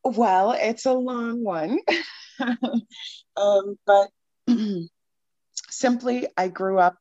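A woman from the Boston area, chatting as a podcast guest, laughing often and filling pauses with ums. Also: mid-range voice, dark tone, no room sound, dull audio. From Boston area, Massachusetts. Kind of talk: casual interview chat.

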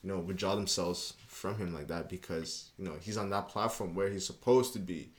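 Laid-back young man, low voice. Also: steady tone, a little fast. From Canada. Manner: loose, rambling, leans on "you know what I mean". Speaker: guest. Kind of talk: casual interview chat.

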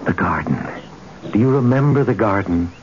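A low, richly varied male voice of an actor gives us an ancient, commanding god of romance. He declaims with solemn, brooding intimacy in old radio-play style, through a muffled recording with clear background noise. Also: lively intonation, normal speed, close to an everyday voice. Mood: brooding, probing. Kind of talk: old-time radio drama acting.

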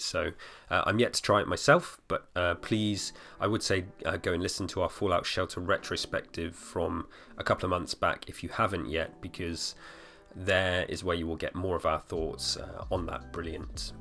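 A man in his thirties hosting a podcast alone, slightly hoarse, rambling with fillers and restarts. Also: low voice, steady tone, normal speed, few pauses, a bit bright, faint music behind. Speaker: podcast host. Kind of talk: casual solo podcast talk.

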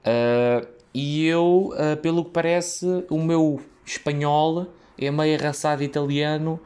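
A man's voice: fairly high pitch, fairly even intonation, takes it slowly, a little mellow. A young man with a European Portuguese accent tells a casual, rambling story about his work as a waiter, full of fillers, restarts and side tracks.

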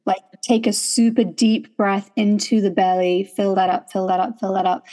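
A woman's voice: mid-range voice, steady tone, normal speed. Woman podcast host, thoughtful and affirming. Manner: warm affirming listener, thoughtful, "like" fillers. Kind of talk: casual podcast conversation.

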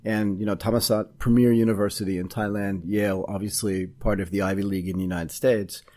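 A man hosting a podcast, asking questions in a relaxed, conversational way. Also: fairly low pitch, fairly quick. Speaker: podcast host. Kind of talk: interview.

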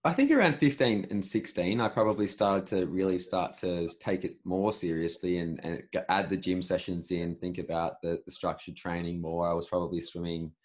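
Deep-voiced aussie guy in his late 20s, laid back, rambly answers. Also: moderate pace, few pauses. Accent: Australian. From Australia. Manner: relaxed, rambling with restarts. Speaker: podcast host, interviewee. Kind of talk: casual interview answers.

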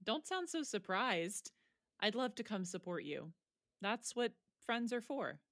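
A woman's voice: medium pitch, very animated, measured pace, fairly bright tone, dry recording, studio quality. A woman playing a frank, wry teenage student in a naturalistic audio drama, understated and a little hesitant. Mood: warm, supportive.

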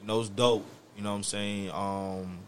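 A man's voice: on the low side, level tone, normal speed, a little hiss or hum. An American man in casual group chat, telling stories emphatically with slang, swearing and frequent verbal tags. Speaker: podcast co-host.

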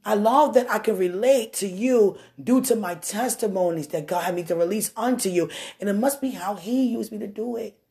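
A woman with a deep voice giving an animated testimony and preaching, swinging from loud bursts to hushed asides. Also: moderate pace, gravelly, few pauses.

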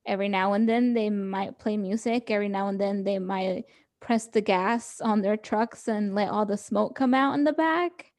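A woman's voice: medium pitch, normal speed, darkish tone. A young woman co-hosting a podcast, chatting casually and laughing often as she quotes people. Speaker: podcast co-host. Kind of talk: casual chat.

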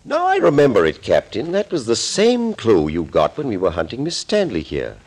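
An actor playing a composed, thoughtful doctor in an old radio thriller, speaking in a measured, deliberate way. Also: male voice, mid-range voice, wildly swooping pitch, darkish tone, slightly rough, exaggerated voice. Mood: pondering.

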